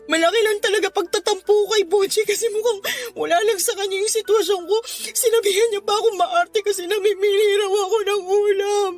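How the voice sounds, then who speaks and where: A woman's voice: extremely high pitch, steady tone, brisk, soft background music. An actress in a radio drama playing a tired, tearful young mother who complains plaintively about her hard life. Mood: hurt. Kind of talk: Tagalog radio drama acting.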